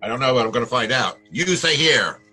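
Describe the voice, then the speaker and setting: A man playing a hard-boiled private detective in a 1940s-style radio drama, wry, brisk and tough-talking, with a husky voice. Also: medium pitch, expressive, loud music behind the voice, close to an everyday voice. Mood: firm.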